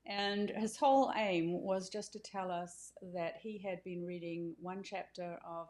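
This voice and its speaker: Female author-host, slightly low voice, informal and conversational, feeling her way through sentences. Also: darkish tone. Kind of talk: informal welcome talk to camera.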